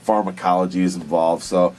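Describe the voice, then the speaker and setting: A man co-hosting a review show in casual banter, blunt and quick to swear. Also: low voice, little pitch movement, darkish tone. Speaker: co-host of a film and TV review show.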